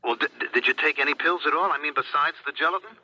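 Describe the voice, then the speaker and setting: A man's voice: on the high side, rapid-fire, bright, forceful tone. An actor playing an anxious, tender policeman husband, stammering and coaxing, in 1970s radio drama. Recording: dull 1970s radio broadcast.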